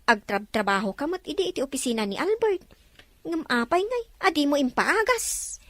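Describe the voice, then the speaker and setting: An actress playing a chatty, gossipy friend who teases and gives advice. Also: female voice, high voice, sing-song, normal speed, no echo. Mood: teasing. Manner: teasing, gossipy. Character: chatty, nosy middle-aged woman friend.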